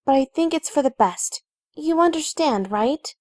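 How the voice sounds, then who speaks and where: Casting: woman as responsible young cartoon pony, high voice, lively inflection, earnest and reasonable, close dry sound. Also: normal speed, no room sound. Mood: concerned. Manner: earnest, reasonable, gently firm. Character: sensible, caring, responsible young female unicorn pony leader. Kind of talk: animated fan audio drama.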